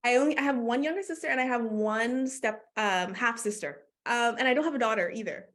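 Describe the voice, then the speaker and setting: A woman from Canada, talking casually as a guest in an interview, full of "like" fillers and quick laughs. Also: a little high, a bit bright. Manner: chatty, many "like" fillers, laughs often. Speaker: guest, doula.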